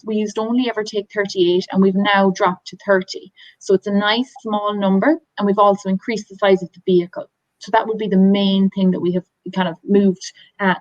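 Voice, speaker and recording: A woman's voice: medium pitch, no echo, highs cut off. An Irish woman from a tour company, chatting enthusiastically through a slide presentation with lots of ums and restarts. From Ireland. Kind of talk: presenting slides on a video call.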